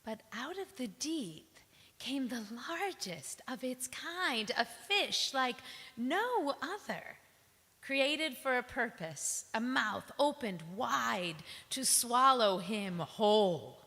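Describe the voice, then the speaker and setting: A woman giving a dramatic spoken word recitation, phrasing deliberately and theatrically with pauses. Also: on the high side, sing-song, bright, forceful tone. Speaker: reader in a staged ensemble performance. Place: large synagogue hall, live performance. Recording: reverberant hall.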